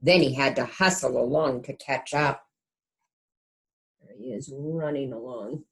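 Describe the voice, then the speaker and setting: A woman reading a picture book aloud to young children like a kindergarten teacher, adding explanatory asides and gentle questions to the listeners. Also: extremely low pitch, a little slow.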